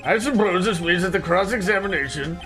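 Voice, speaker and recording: A man voicing a ponderous, formal elderly courtroom judge from a video game, speaking in a measured way. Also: high voice, music in the background, close to an everyday voice. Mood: formal, deliberate.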